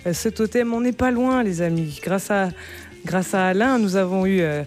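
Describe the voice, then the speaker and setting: A woman's voice: mid-range voice, moderate pace, darkish tone, soft background music. A woman hosting a live radio phone-in game, chatting casually with hesitant fillers and frequent laughter. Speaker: radio game show host.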